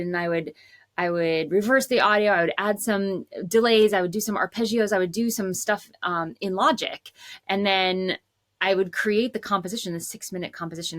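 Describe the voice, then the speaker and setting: A woman in her thirties, a songwriter and composer, chatting casually about her work in a low voice with lots of "like.". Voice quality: says she has a low voice. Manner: chatty, says "like" often, relaxed. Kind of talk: casual storytelling to camera.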